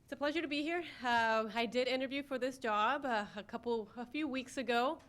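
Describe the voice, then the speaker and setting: Middle aged lady, slightly high voice, introducing herself at a city meeting. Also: fairly quick, fairly bright tone. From born in Ecuador, raised in Florida. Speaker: newly hired city communications director, former newscaster. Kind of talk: self-introduction speech at public meeting. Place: city commission meeting room.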